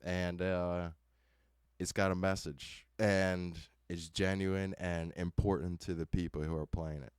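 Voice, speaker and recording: A man in his twenties, a band member, answering interview questions casually with many hesitant pauses. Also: low voice, smooth, no echo, studio quality. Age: 20s. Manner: relaxed, hesitant, many ums.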